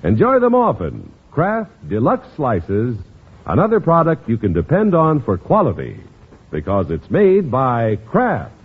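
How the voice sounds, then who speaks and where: A man's voice: mid-range voice, wildly swooping pitch, a little slow, breathy edge. A man announcing and narrating in polished, emphatic 1950s radio style, reading from a script. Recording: muffled old radio recording.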